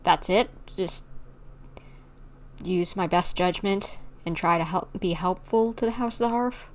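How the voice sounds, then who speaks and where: A woman's voice: fairly low pitch, measured pace. A woman game streamer giving casual, chatty, playful commentary on a livestream. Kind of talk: casual livestream gameplay commentary, reading dialogue choices aloud.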